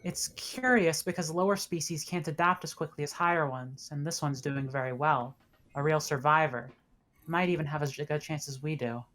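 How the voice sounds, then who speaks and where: A woman's voice: low-pitched, moderate pace, a bit bright. A woman playing a commanding, skeptical spaceship officer in a table read of a sci-fi horror script.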